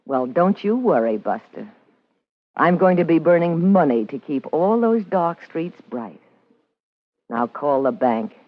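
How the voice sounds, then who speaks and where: A woman's voice: sits low, sing-song, unhurried, background noise. An actress with an American accent playing a hard-boiled, wisecracking party girl and blackmailer in a vintage radio drama, with a tough, sardonic manner. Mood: tough, defiant. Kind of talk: 1950s radio noir acting, narration and dialogue. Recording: muffled vintage radio recording with music.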